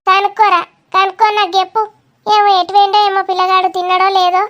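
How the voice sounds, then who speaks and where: A woman's voice: very high voice, flat delivery, fast, a bit bright, highs cut off. A woman voicing a nosy, grumbling village aunt in a cartoon comedy, with a Telangana Telugu accent and a blunt, gossipy way of talking. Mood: concerned.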